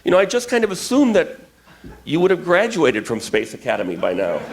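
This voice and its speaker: A man's voice: a little high, wildly swooping pitch, brisk, vocal fry, a crowd in the background, heavily acted, rough recording. A man playing a teasing, mock-official superior to a space cadet in a comic radio-style skit.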